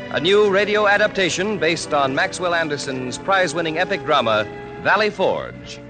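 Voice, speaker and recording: A man with an American accent announcing formally and solemnly in 1940s radio style. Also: mid-range voice. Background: music.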